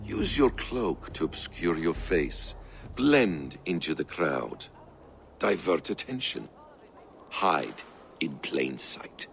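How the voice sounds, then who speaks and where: A secretive assassin mentor speaks in a very deep, measured voice. His intonation rises and falls expressively as he persuades. Faint ambient sound runs behind him in the game scene. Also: sing-song, gravelly, a bit bright, a little hiss or hum, exaggerated voice. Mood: calm. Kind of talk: video game cutscene acting.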